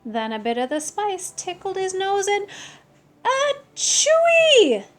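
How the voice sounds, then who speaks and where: A woman reading a children's picture book aloud expressively, deliberately stressing key words. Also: extremely high pitch, animated, a little mellow. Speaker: story reader.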